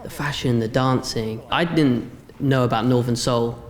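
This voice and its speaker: A young man with an English accent gives an interview. His voice sits at an average pitch, and he talks as a student voice actor reflecting on his work. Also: normal speed. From England. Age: young adult.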